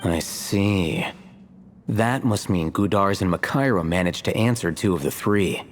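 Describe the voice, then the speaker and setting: An actor playing a composed young scholar in a video game, dry and analytical in manner. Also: male voice, deep voice, unhurried, long reverb tail, faint music behind. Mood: dry, thoughtful. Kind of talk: video game character acting.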